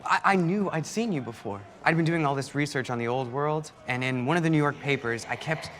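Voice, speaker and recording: A man's voice: a little high, a little fast, a bit bright, slight background noise, natural voice. A man playing an eager, stammering researcher. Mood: excited. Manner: tumbling, stammering recollection. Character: eager young male researcher, excited.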